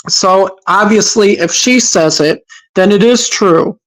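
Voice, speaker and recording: A woman's voice: mid-range voice, slow, poor audio. A woman from Massachusetts hosting a livestream, reacting to clips with sarcastic, scolding commentary and frequent hesitations.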